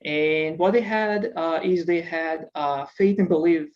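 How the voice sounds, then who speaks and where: A man's voice: fairly high pitch, measured pace, muffled recording, slight background noise. A startup founder from Israel, a man, answering interview questions hesitantly, with many filler sounds. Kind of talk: casual interview over video call.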